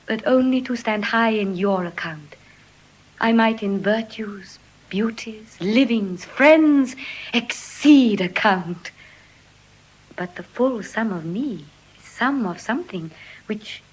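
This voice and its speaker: An actress with an English RP accent playing a tender, devoted young noblewoman, in heartfelt, measured Shakespearean verse. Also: medium pitch, lively intonation, husky, rough recording. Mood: tender, modest. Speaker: stage actor. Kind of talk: classical Shakespearean verse acting.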